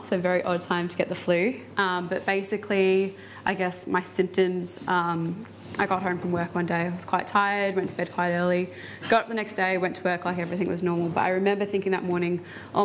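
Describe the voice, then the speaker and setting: A young woman in her twenties recounts a personal experience to an audience. She speaks casually and hesitantly, with many fillers. Her voice sits slightly low, and a faint hiss sits behind her. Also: low-quality recording. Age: 20s. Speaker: invited speaker.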